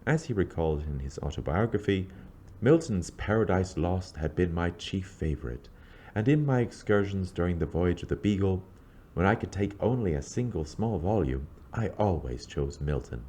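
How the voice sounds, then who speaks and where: A man reading scripted historical narration in a measured, formal, scholarly manner. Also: low-pitched, animated, mellow, breathy edge. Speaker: lecturer reading own introduction.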